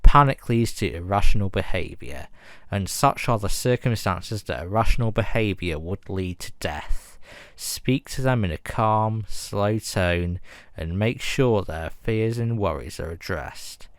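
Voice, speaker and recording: A man reading a scripted emergency broadcast announcement in a formal, measured and grave manner. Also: on the low side, lively intonation, no room sound.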